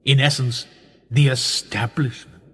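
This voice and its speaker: Man acting a smug husky establishment schemer, reverberant. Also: medium pitch, steady tone, a bit bright. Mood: smug lecturing. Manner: measured, rhetorical, condescending. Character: older establishment schemer, smug, patronising, coolly manipulative. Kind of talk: radio play acting.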